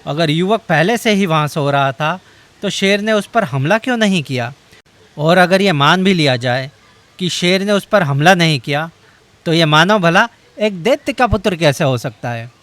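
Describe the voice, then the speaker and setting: A man's voice: a little high, lively intonation, moderate pace, a bit bright, no room sound. A man voicing an earnest, dutiful king in a cartoon story. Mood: puzzled. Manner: earnest, pleading. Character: brave king, puzzled then repentant. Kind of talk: Hindi cartoon voice acting.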